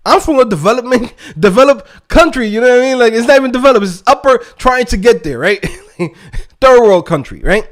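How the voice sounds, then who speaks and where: A man with a high-pitched voice gives a casual motivational rant to his online viewers. His intonation swings widely as he talks. He is emphatic and streetwise, leaning on slang and checking in with short tag questions. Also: lively intonation, a little fast. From Dominican Republic. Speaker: YouTube host and developer coach.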